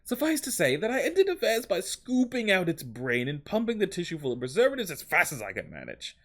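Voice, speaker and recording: A man's voice: high-pitched, wildly swooping pitch, brisk, fairly bright tone, pitched above the performer's own voice, heavily acted. A man voicing a squeamish, fussy preservationist giving grim testimony in a theatrical, faltering put-on accent that wanders. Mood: fussy, explaining. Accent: put-on, wandering between several accents. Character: squeamish, fussy taxidermist-like preservationist recounting gruesome job. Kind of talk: dramatic interview testimony reading.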